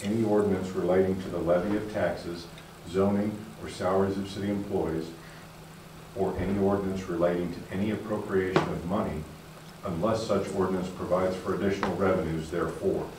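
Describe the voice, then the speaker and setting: A man on a commission reading a legal text aloud in a steady, formal way in a large meeting room. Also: low-pitched, fairly quick. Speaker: commission member. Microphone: far camera. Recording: very echoey, distant room sound with noise.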